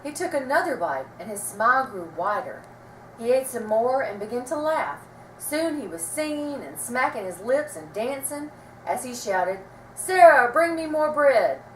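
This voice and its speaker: A woman reading a children's story aloud with expressive, dramatic storytelling delivery. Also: on the high side, moderate pace. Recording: roomy, distant mic, faint noise.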